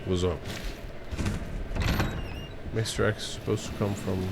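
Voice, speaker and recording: A man's voice: on the low side, steady tone, a little slow, gravelly, very noisy. A drunk man, a native Spanish speaker with a Spanish accent, giving rambling, sweary, casual gameplay commentary as a streamer. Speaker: streamer, speedrunner.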